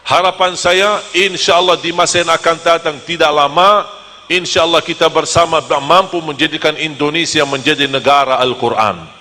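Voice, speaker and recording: A man from Medina, preaching to a live audience in a lively, joking storytelling manner. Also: fairly high pitch, moderate pace, long reverb tail, slight background noise. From Medina, Saudi Arabia. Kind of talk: humorous sermon to a live audience.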